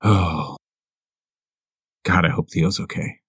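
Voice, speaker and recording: Low-voiced man at a gaming table. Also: slow, fairly bright tone, breathy edge, no room sound, studio quality. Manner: hesitant, repeating words, casual swearing. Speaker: player in a tabletop roleplaying game. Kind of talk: casual table chat and in-character play.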